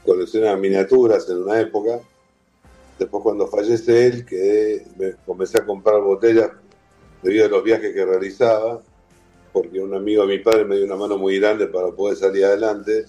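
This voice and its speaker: Interview guest: Argentine man, low mellow voice, chatty and relaxed. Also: moderate pace, muffled recording, faint music behind. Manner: warm, chatty, explains with lists and repetition. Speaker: guest, whisky museum owner. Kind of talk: casual interview talk.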